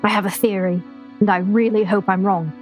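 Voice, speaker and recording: A woman's voice: mid-range voice, level tone, brisk, faint music behind, close to an everyday voice. An actress playing a tense, hard-edged woman doctor and fighter in an audio drama. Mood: worried.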